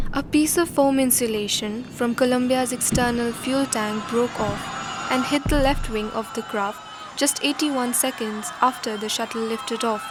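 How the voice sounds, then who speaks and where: A woman narrating a scripted documentary drama in a measured, solemn storytelling manner. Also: fairly high pitch, noisy background.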